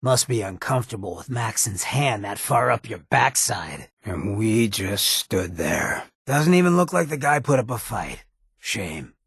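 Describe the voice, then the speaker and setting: An actor playing a roguish, irreverent ghoul mayor in a video game, with a raspy voice, an American accent and a streetwise, sardonic drawl. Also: male voice, fairly low pitch, big pitch swings, unhurried, a bit bright, no echo, clean studio sound, exaggerated voice. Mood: grim, scornful. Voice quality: raspy, gravelly. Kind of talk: video game character dialogue.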